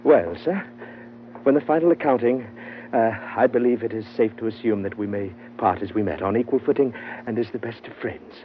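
An actor playing a pompous, theatrical gentleman trader who speaks in florid, formal phrases in an old radio drama. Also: medium pitch, a little fast, lightly acted. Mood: pleased, pompous. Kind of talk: 1940s-50s radio adventure acting. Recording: muffled, faintly noisy old radio recording.

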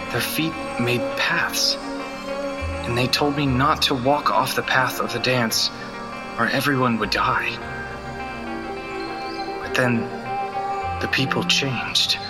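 A young man played by an actor speaks with a medium pitch as a dreamy, struggling musician in an audio drama. He is soft, earnest and plain-spoken, and loud music runs behind him. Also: fairly even intonation, takes it slowly, long pauses, lightly acted. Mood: hushed, haunted.

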